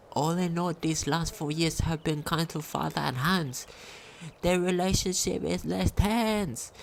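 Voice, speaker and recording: A woman's voice: lowish voice, slight background noise. A woman reading a diary aloud during a game playthrough, sometimes stumbling over longer words. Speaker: game commentator.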